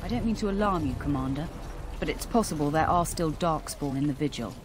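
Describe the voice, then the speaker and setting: A woman's voice: deep voice, animated, background noise. An actress voicing a plain-spoken, dutiful female keep sergeant in a video game. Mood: concerned, reporting. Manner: brisk, matter-of-fact, earthy.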